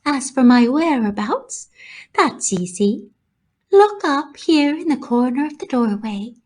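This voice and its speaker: A woman's voice: sits high, lively intonation, measured pace, darkish tone, pitched above the performer's own voice. A woman voicing a small, kindly, refined female spider with a thin voice in a children's storybook. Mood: kindly, gracious. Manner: gracious, slightly fancy. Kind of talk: children's storybook read-aloud.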